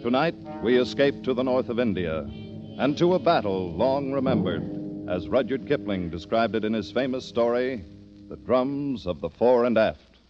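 A man's voice: mid-range voice, lively intonation, moderate pace. A man announcing a program in a dramatic 1940s radio style. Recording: dull old radio broadcast with music.

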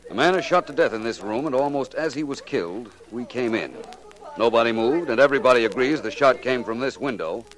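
Actor as a commanding American radio detective, animated delivery. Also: male voice, medium pitch, fairly quick, close to an everyday voice. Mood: composed, probing. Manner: authoritative, orderly, courteous. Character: brisk, commanding male private detective taking charge. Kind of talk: 1940s radio crime drama acting. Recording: old 1940s radio recording.

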